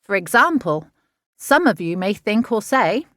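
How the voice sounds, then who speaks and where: A woman reading a children's nonfiction audiobook in an expressive, measured, explanatory way. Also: medium pitch, no echo, studio quality. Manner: clear, measured, expressive teaching delivery for children. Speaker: author narrating own audiobook.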